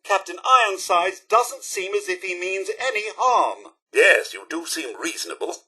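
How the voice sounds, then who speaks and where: A man's voice: sits high, very animated, normal speed, pushed and bright, slightly rough, full character voice. A man voicing a prim, fussy, loyal robot butler in a video game, with a British accent and a formal, theatrical, moralizing manner. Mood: insistent, disapproving. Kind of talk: scripted game dialogue.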